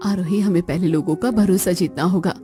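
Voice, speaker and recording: A woman voicing a hardworking elder daughter-in-law in an animated story, firm and practical. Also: on the low side, fairly quick, soft background music, natural voice.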